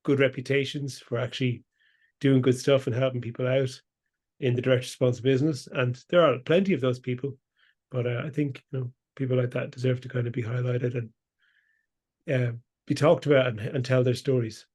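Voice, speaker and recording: A man hosting a podcast interview, chatting casually and warmly with his guest, often restarting his sentences. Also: mid-range voice, fairly even intonation, fairly quick, husky. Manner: friendly, chatty, with frequent restarts. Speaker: podcast host.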